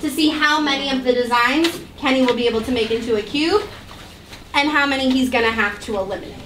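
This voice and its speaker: Casting: young female teacher, noticeably high voice, directive and questioning, instructing children from across a noisy room. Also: quick pace, recorded from a distance. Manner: directive, questioning, projecting to a class. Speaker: math teacher. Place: indoor school classroom with students. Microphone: distant camera microphone. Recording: roomy, noisy classroom, picked up from afar.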